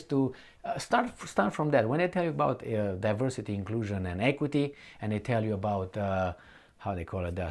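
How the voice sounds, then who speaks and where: A man giving an unscripted, opinionated political commentary, speaking bluntly and colloquially, with halting repetition and rhetorical questions to the listener. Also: mid-range voice, a little mellow, breathy edge. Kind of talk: unscripted opinionated monologue to viewers.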